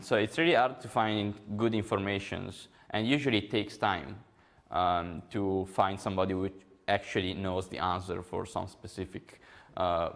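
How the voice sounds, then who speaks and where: A young man with an Italian accent gives a conference talk in a low voice. He explains step by step with frequent ums and uhs. A gravelly rasp sits under his words. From Italy. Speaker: conference speaker.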